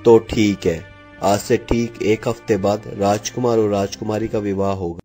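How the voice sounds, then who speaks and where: A man's voice: lowish voice, steady tone, moderate pace, mellow, soft background music, lightly acted. A man voicing an authoritative, decisive king and father with formal, commanding speech in a cartoon tale. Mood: agreeable. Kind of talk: Hindi cartoon fairy-tale dialogue.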